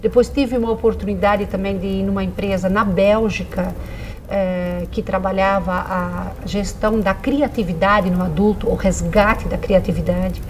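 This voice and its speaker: Mellow middle-aged woman with slight Dutch-tinged accent. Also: medium pitch, a little hiss or hum, low-quality recording. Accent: slight Dutch-influenced Brazilian. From Brazil, rural Dutch-speaking community. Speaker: interview guest, psychologist. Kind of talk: reflective conversational interview answers.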